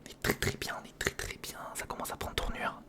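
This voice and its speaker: A man whispering informal ASMR commentary close to the microphone, murmuring step by step to himself and the viewer as he works. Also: brisk. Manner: murmured, informal running self-talk addressed to the viewer. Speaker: ASMR video creator. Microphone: close recorder microphone.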